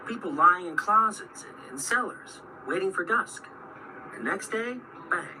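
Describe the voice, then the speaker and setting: A man's voice: high-pitched, lively intonation, a little slow, noisy background. An actor playing an earnest, anxious man convinced of a lurking evil, intense and urgent in a radio drama. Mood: urgent, fearful.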